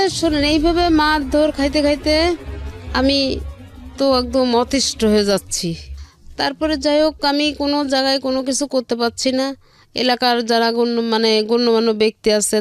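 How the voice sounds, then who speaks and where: High-voiced middle-aged Bangladeshi woman over music. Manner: earnest, repeats phrases, acts out quoted speech. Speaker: guest telling her life story. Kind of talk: personal storytelling in an interview. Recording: music under the voice. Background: background music.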